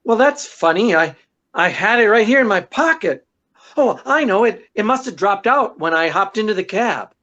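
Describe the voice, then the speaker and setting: A woman's voice: mid-range voice, animated, moderate pace, a bit bright, highs cut off. A woman acting a wisecracking, devoted husband and sleuth in 1940s radio style, with flustered banter. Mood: defensive.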